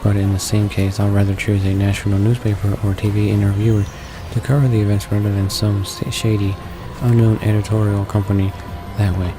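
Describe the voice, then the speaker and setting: A man with a low, fairly even voice reads game text aloud in a let's play. He trips over words here and there, and music plays clearly behind him. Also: a little fast, few pauses. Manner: stumbles over words while reading. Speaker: let's play host reading a visual novel aloud.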